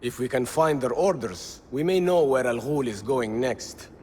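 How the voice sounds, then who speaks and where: An actor playing a shrewd, defiant, self-assured rebel in a video game. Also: male voice, mid-range voice, animated, normal speed, a little mellow, close to an everyday voice. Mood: shrewd, insistent. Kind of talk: video game cutscene acting. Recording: reverberant hall, faint ambient noise.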